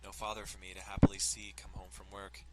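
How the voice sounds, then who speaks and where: A man's voice: low-pitched, monotone, quick pace, very noisy. A man narrating a visual novel in a casual, informal way, breaking off into joking, sweary asides. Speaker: let's player reading a visual novel aloud. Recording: loud music under the voice. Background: music.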